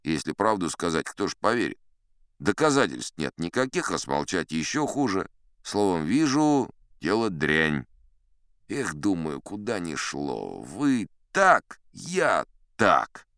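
A man narrating a first-person yarn like an audiobook actor, expressive and conversational in his telling. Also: low-pitched, slow, clean tone, no room sound. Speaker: audiobook actor narrating as a storyteller sea captain. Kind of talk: animated scripted first-person storytelling.

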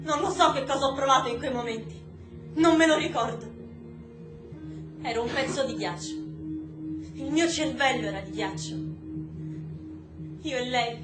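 Actress as bitter, lucid female reporter in mourning. Theatrical monologue, emphatic, high-pitched, echoey with music behind. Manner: deliberate, emphatic repetition. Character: coldly furious, grieving American woman journalist, bitter and lucid. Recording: roomy stage recording with music.

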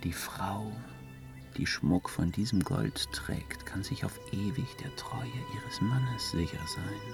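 An actor playing a sly, evasive trickster god in a fantasy radio drama, with a wily, teasing, theatrical delivery. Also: male voice, low-pitched, slow, vocal fry, over music, heavily acted. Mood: seductive, secretive.

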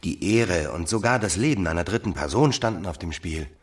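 An actor playing a suave, evasive gentleman lover in a radio drama, with a very deep, gravelly voice. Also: animated, brisk.

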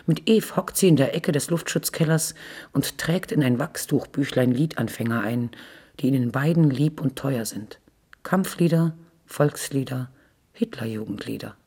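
An actress playing a detached, wry literary narrator in a German radio play, with a husky, gravelly voice and sober delivery. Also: female voice, a bass voice, normal speed, dark tone, exaggerated voice. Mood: sober, ironic.

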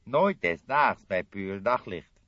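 A man's voice: fairly low pitch, big pitch swings, takes it slowly, no room sound, dull audio. A man voicing an old police coroner in an audiobook, laconic and dryly sardonic. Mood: grumbling.

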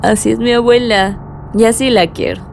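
Earnest young Mexican woman, highish voice. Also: normal speed, creaky, darkish tone, slight background noise. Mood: fond, earnest. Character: earnest, hopeful young woman engineer coming home. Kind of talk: radio drama acting.

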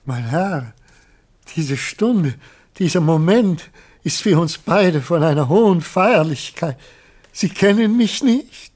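A deluded, effusive creator in a radio drama. His voice sits fairly high and swings widely, theatrical and solemn, full of pleading devotion. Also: animated, slow pace, a little mellow. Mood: solemn wonder. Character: rapturous, deluded man claiming his runaway scarecrow as creation. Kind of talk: German radio play acting.

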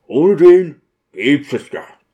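A man's voice: on the high side, very animated, takes it slowly, warm tone, dull audio. A man voicing an eager, boastful deacon in an audiobook, using affected, oddly stressed expressions. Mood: lecturing. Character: eager, boastful deacon showing off newly learned city slang.

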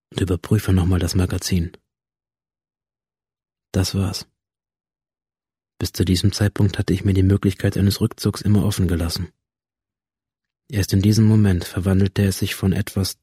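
Brooding detached student, really husky and low, radio play monologue, measured and matter of fact. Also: male voice, level tone, mellow, dry recording, studio quality. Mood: cold, resolved. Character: brooding, detached male school student narrating his fatal decision. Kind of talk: first-person literary monologue, radio drama reading.

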